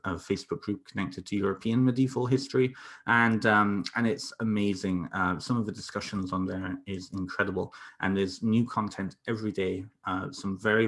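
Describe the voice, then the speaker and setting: British man with fillers, low voice. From North Wales. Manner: hesitant, many fillers and restarts. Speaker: counsellor presenting a folklore talk. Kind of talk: live online presentation. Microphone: computer mic on a video call.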